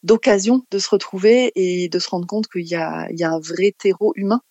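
A woman's voice: lowish voice, darkish tone, no room sound, dull audio. A woman with an accent from France giving spontaneous interview answers as a guest, searching for words and restarting phrases. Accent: French from France. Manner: halting, searching for words, repeating phrase starts. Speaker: interview guest, community association organiser.

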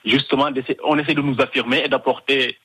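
A man's voice: medium pitch, level tone, quick pace, pushed and bright, breathy edge, rough recording. A man from Senegal, calling in to a radio show from Canada, talking with many fillers and restarts. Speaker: guest. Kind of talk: phone-in radio interview.

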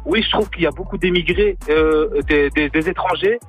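A man's voice: a little high, moderate pace, slightly rough, faint music behind. A man calling in to a radio show, indignant and insistent as he argues his point. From France. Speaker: caller.

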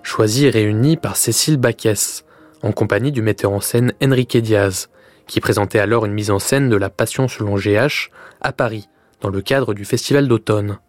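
A man giving a measured, literary scripted introduction as a radio presenter. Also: lowish voice, dry recording, faint music behind.

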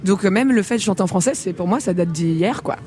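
A woman's voice: mid-range voice, quick pace, dark tone, a little hiss or hum. A woman from the Congo, a singer as a radio interview guest, talking candidly with frequent laughs and fillers.

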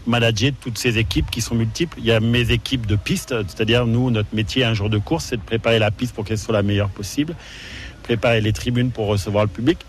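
A man's voice: mid-range voice, fairly even intonation, a little fast. A man who directs a racecourse, explaining his work in an interview with frequent hesitations. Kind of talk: interview answers.

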